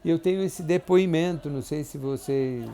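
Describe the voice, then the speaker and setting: A man, recalling his family in an oral history interview, speaking haltingly with many restarts and tag questions. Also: a little high, dark tone. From Brazil. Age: 70s. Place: clinic office.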